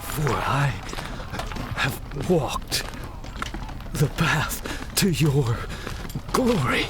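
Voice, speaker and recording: A man's voice: mid-range voice, big pitch swings, very slow, music in the background. An actor playing a devout, defiant dying warrior in a fantasy audio drama, his speech weak, labored and gasping. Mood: dying prayer.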